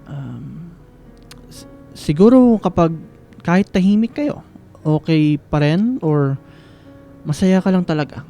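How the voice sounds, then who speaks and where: An actor playing a reserved, supportive young student in a radio drama, with a Filipino accent and halting delivery. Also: male voice, a little high, animated, vocal fry, warm tone, soft background music, heavily acted. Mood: subdued, supportive. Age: young adult. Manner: hesitant, halting. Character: Liam, reserved young male student, quietly supportive friend. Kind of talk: radio drama acting with English code-switching.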